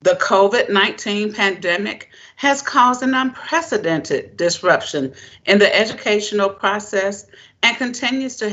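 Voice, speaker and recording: A woman giving a formal prepared keynote speech as a presenter, in deliberate, emphatic, measured phrases. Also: medium pitch, fairly bright tone, lo-fi sound. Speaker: presenter, education keynote speaker.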